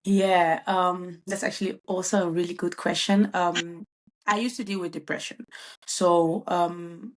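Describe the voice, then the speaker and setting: A woman's voice: fairly low pitch, steady tone, measured pace. Bubbly woman in her twenties. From Netherlands. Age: 20s. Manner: bubbly, frequent ums and uhs. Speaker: guest, event planner. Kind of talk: conversational interview answers.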